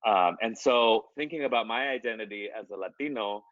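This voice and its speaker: Low-voiced man chatting loosely. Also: brisk, no room sound, narrow, tinny audio. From United States. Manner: loose, conversational, many fillers and restarts. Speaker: artist. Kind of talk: interview answers.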